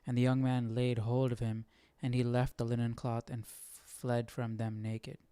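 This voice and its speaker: Smooth-voiced man casually musing, close and dry. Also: mid-range voice, flat delivery, normal speed, warm tone, dry recording. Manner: informal, musing aloud. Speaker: host of a Bible study. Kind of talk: casual reflective commentary.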